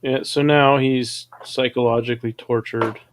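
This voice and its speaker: Regular guy voice, medium pitch, hosting a laid-back chatty review. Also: steady tone, moderate pace, noisy background. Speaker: host reviewing animated audio dramas. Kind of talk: casual chat commentary.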